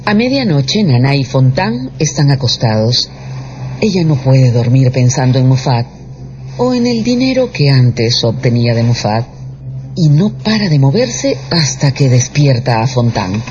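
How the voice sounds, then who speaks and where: A man's voice: medium pitch, big pitch swings, measured pace, a little mellow, a little hiss or hum. A man giving scripted dramatic narration as the storyteller of a radio drama. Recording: dull radio production with music underneath.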